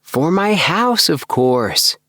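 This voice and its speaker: A man's voice: a little high, very animated, slow pace, bright, forceful tone, no room sound, studio quality, pitched above the performer's own voice, heavily acted. A man voicing an eccentric, earnest young dreamer with a fussy, emphatic way of talking in a storybook. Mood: eager. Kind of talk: storybook character voice.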